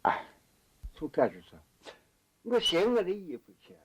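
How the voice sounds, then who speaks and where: A man's voice: high-pitched, huge pitch swings, normal speed, breathy edge. A man in colloquial, unscripted talk.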